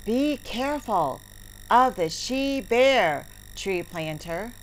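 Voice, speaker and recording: Woman playing friendly earnest young guy in a kids play, super slow and careful, noisy sound. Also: a little high, expressive. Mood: warning. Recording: clearly noisy recording with clicks.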